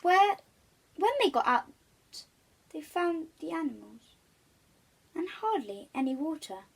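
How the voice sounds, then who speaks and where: A woman's voice: very high voice, sing-song, takes it slowly, vocal fry. A woman reading a children's storybook aloud, expressively, stressing key words.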